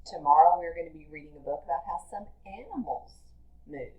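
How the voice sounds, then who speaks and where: A woman teacher reading a picture book aloud to young children in a deliberate, expressive storytelling manner. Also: fairly low pitch, phone-quality sound.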